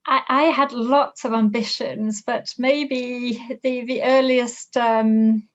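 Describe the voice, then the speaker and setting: A middle-aged woman from France with a French accent, a business professor answering interview questions thoughtfully, pausing often. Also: fairly high pitch, fairly even intonation, lo-fi sound. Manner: thoughtful, with frequent hesitations and restarts.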